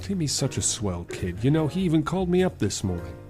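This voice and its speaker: Actor as sweet earnest suitor in an old radio romance, mid voice, faint music behind. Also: male voice, mid-range voice, big pitch swings, fairly quick, dark tone, natural voice. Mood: apologetic, gentle. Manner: earnest, courting, old-fashioned. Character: affectionate, forgiving suitor, mild and playful. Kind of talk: old-time radio drama acting.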